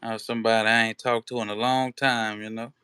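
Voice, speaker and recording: Streamer, male, relaxed and slangy, tense bright tone, dry sound. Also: lowish voice, fairly quick, clear, resonant tone, dry recording. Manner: laid-back, slangy, many "you know" fillers. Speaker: livestream host. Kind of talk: casual livestream chat.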